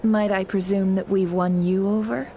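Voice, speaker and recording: A woman playing a sly, smooth-talking businesswoman in a video game, coy, polite and measured. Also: mid-range voice, level tone, dark tone, a little hiss or hum, lightly acted. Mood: coy, teasing.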